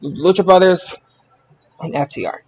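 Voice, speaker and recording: A man hosting a casual podcast results recap, haltingly, often repeating words as he recalls details. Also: sits high, lively intonation, a little mellow, poor audio. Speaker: podcast host.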